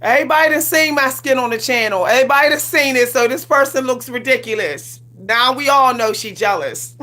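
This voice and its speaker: A woman's voice: high voice, a little fast, pushed and bright. A woman, ranting casually on her livestream with sass, repeating herself and laughing often. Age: 40s. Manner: sassy, repetitive, laughs often, colloquial. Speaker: livestream host.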